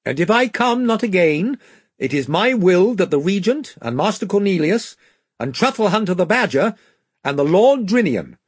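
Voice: medium pitch, sing-song, normal speed, slightly rough, no echo, studio quality, pitched above the performer's own voice. A reader voicing a proud young king who speaks in a regal, commanding way.

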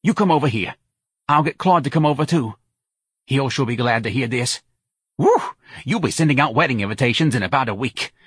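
A man voicing a loud, bossy older woman with a New Orleans accent, brash and pushy in an audiobook story. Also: mid-range voice, expressive, brisk, husky, no echo, studio quality, voice pushed up. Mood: eager, bossy.

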